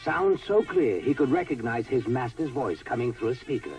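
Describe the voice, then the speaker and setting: American man, medium pitch, vintage ad narration, a bit off-mic, music underneath. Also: very animated, moderate pace, a little mellow. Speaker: commercial announcer. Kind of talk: scripted 1970s TV ad narration. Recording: somewhat distant vintage ad, music behind.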